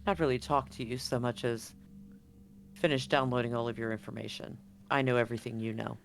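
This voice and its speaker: A man's voice: mid-range voice, fairly even intonation, brisk, gravelly, natural voice. A man playing a cold, arrogant female corporate agent in a tabletop game, speaking with dismissive control. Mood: firm, menacing. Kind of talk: tabletop roleplay acting. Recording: live stream with background noise.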